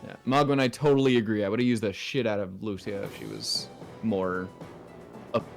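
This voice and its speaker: A man's voice: lowish voice, fairly even intonation, normal speed, gravelly, soft background music. A man chatting casually as he streams a video game live.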